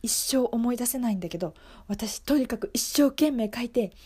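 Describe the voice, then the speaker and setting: A woman's voice: on the high side, expressive, moderate pace, a little mellow, slightly rough. A woman playing an earnest young female schoolteacher in an improvised radio monologue, telling her story in a confiding, emphatic way. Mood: desperate, animated.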